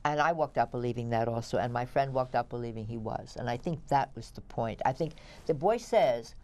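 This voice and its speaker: A woman's voice: rumbling low, fairly quick, a little mellow. A woman, talking casually as a critic and co-host, opinionated and matter-of-fact. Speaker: theatre critic, show co-host. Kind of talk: casual review discussion.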